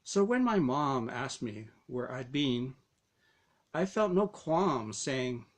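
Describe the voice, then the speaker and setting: A man reading his own first-person story aloud as narration, with dramatic, deliberate phrasing. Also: medium pitch, big pitch swings.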